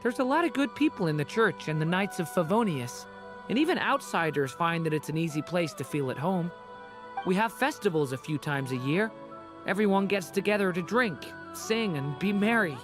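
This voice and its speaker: Casting: actor as sympathetic young traveler, sincere and gentle, medium pitch, game dialogue with music underneath. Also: lively intonation, moderate pace, natural voice. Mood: reassuring. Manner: earnest, sincere. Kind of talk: dramatic game voice acting.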